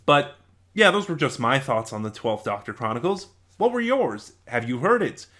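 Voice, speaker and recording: A man's voice: medium pitch, big pitch swings, moderate pace, fairly bright tone. A man giving a casual, unscripted review in a rambling, conversational way, correcting himself as he goes. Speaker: reviewer and channel host.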